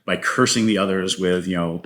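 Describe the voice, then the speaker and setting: A man's voice: sits low, normal speed, a bit bright. A man talking casually as a guest playwright in an interview, telling stories and slipping into quoted lines. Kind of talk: casual interview talk about own writing.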